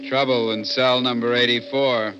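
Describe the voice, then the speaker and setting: An American actor playing a callous, matter-of-fact prison guard in a 1960s radio drama, brisk and offhand. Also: male voice, medium pitch, flat delivery, close to an everyday voice. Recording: muffled old radio, faint music behind.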